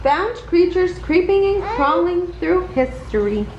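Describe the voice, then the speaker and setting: A woman reading a children's picture book aloud in an expressive, deliberate storytelling manner. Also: extremely high pitch, lo-fi sound. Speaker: storybook reader. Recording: roomy echo, faint background noise.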